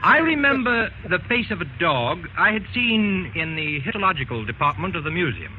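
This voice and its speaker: An actor playing a grave, learned investigator recounting horrors in an old-time radio drama. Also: male voice, sits high, very animated, normal speed, phone-quality sound, a crowd in the background. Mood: solemn, reflective. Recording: thin, noisy old radio recording.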